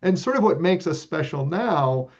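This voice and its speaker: A man from Texas, a guest leading an arts organization, answering interview questions in a relaxed, earnest way with many ums and uhs. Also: fairly high pitch, moderate pace. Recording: slightly dull lo-fi audio.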